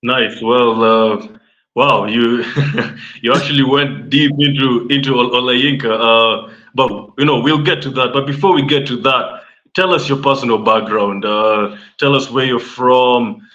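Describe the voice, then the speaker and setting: A male interviewer who is the host, prompting his guest loudly and repeating his questions. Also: medium pitch, steady tone, measured pace. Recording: dull lo-fi sound from farther off.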